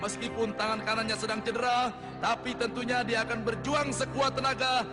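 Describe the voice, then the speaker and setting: A man playing an excited football match commentator in a dubbed cartoon. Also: very high-pitched, fairly quick. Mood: dramatic. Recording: long stadium reverb, music and crowd behind.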